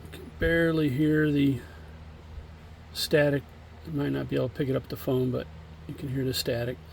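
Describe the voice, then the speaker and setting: A man giving a casual, halting, hands-on demo of used equipment in a plain, unscripted way, recorded on a phone. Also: medium pitch, level tone, vocal fry, darkish tone, slight background noise. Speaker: seller demonstrating a used CB radio.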